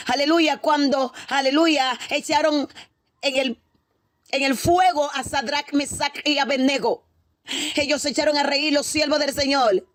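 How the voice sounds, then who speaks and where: A woman's voice: sits high, dry recording, clean studio sound. A woman preacher recounting a prophetic vision in a fervent, repetitive Pentecostal storytelling style, breaking in with exclamations of praise. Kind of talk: Pentecostal testimony sermon, storytelling with interjected hallelujahs.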